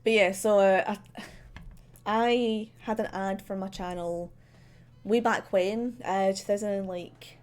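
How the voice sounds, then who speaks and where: A woman's voice: medium pitch, fairly even intonation, slow pace, darkish tone. Rambling Scottish woman streaming from her desk. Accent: Scottish, central belt. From Scotland. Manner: rambling and chatty, with many fillers and laughs. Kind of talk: casual livestream chat while drawing. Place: home desk. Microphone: desk microphone. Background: faint background music.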